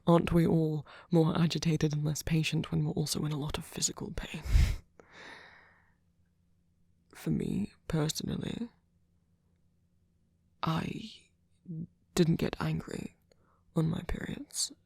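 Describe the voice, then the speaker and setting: A man playing a sweet, gentle vampire boyfriend in an ASMR comfort roleplay, speaking haltingly in a breathy, husky whisper. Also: a little high, fairly even intonation, dry recording, clean studio sound, exaggerated voice. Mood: soft, sympathetic.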